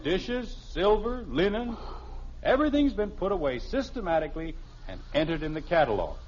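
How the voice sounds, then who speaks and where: A man's voice: sits high, very animated, normal speed. An American actor playing a stubborn, pompous middle-aged family father in a 1950s radio comedy, defensive and insistent. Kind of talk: 1950s radio sitcom acting. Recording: noisy, muffled early 1950s radio recording.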